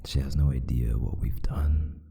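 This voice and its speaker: A man voicing a brooding, lovesick vampire boyfriend in a whispered ASMR roleplay, breathy and gravelly, murmuring intimately and tenderly with long pauses. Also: rumbling low, fairly even intonation, dark tone, full character voice. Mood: bitter self-reproach.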